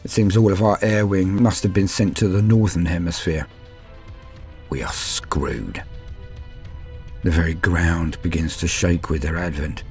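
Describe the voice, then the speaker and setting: Male narrator as despairing, awestruck soldier in audio war drama. Low, theatrical, deliberate delivery over music. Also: darkish tone. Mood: bleak despair, then awed horror. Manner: grave, theatrical, deliberate phrasing. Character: doomed human soldier, fearful, awestruck, despairing. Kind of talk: dramatic first-person scripted narration.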